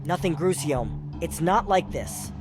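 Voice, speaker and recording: Chipper young male sidekick for a visual novel, played by a man: high-pitched, very lively, eager to please, loud music behind. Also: a little slow. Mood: apologetic. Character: young male delivery worker, loyal, chipper, deferential friend.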